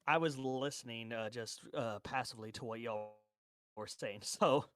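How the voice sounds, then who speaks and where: Guy with a middle pitched voice, relaxed table talk before a game session. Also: moderate pace. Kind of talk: casual chat.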